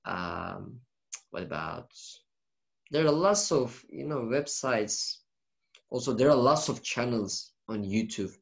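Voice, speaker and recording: A man's voice: mid-range voice, animated, slow pace, creaky. A young man with a Turkish accent, speaking English hesitantly, with many fillers and restarts, as a university student being interviewed. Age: young adult. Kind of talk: casual conversational interview.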